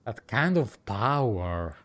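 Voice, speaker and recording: A man's voice: fairly low pitch, very animated, slow pace, a bit bright. A man giving casual, fragmentary reactions as he streams a video game, in short remarks. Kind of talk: casual gameplay reaction commentary.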